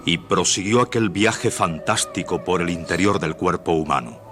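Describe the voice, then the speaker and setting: An actor playing the solemn narrator of a suspense adventure on the radio. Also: male voice, low voice, normal speed, lightly acted. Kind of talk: scripted radio drama narration.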